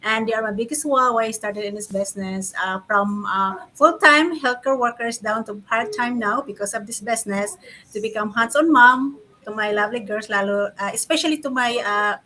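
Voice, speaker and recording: A cheerful woman in her thirties with a Filipino accent. Her voice is bright and a bit high. She speaks with lots of fillers on a video call. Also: moderate pace, poor audio. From Philippines. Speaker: panelist.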